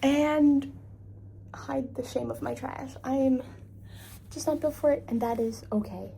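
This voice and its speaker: A woman's voice: sits high, unhurried, creaky, mellow, slight background noise. A young woman from Labrador, Canada, chatting casually in a travel vlog, rambling and self-deprecating, hot and tired. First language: English. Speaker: travel vlogger.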